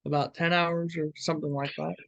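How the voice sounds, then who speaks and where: A man's voice: on the high side, level tone, a little fast, vocal fry, a bit bright, no room sound, lo-fi sound. A man in his twenties from California answering interview questions in a wry, talkative way. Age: 20s.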